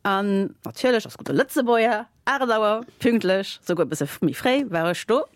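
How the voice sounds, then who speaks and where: A woman, a guest in a casual interview, telling stories with lots of fillers and lively laughter. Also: mid-range voice, normal speed, no room sound, studio quality. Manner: animated, laughing while telling anecdotes, many fillers. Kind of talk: casual storytelling.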